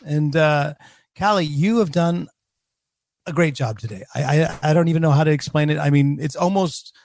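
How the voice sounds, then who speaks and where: A man's voice: fairly high pitch, normal speed, gravelly, a little mellow. A man hosting a podcast in casual chat, rambling and poking fun at himself. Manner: rambling, self-mocking. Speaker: podcast host.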